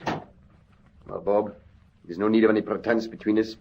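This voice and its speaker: An actor playing a proud, bitter and intense ex-convict in an old radio drama, with theatrical, impassioned delivery. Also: male voice, fairly low pitch, steady tone, unhurried, vocal fry, a little mellow. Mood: anguished. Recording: dull, lo-fi old radio recording.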